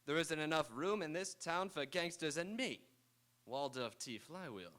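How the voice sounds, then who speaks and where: Brash radio-comedy boss male actor, forceful and very animated, a sardonic wisecracker with a high opinion of himself. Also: moderate pace. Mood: self-important. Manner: bossy, sardonic. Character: brash, wisecracking male lawyer boss, irreverent and self-important. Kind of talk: 1930s comedy radio play acting. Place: auditorium stage. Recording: live stage radio play.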